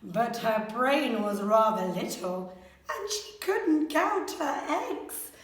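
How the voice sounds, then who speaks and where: A woman teacher reading a children's story aloud in an expressive, theatrical, deliberate way. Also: on the high side. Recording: very echoey room, slightly distant mic.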